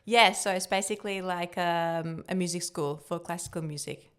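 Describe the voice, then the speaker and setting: A young woman with Turkish as her first language answers interview questions in a relaxed, chatty way. Her voice sits fairly low for a woman and sounds smooth and clear. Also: normal speed. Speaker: guest, building cost estimator. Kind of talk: casual podcast interview.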